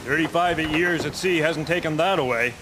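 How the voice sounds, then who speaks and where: A man playing a seasoned, steady master mariner in an audio drama, plain-spoken and stoic. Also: on the high side, moderate pace, natural voice. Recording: loud storm and engine noise behind.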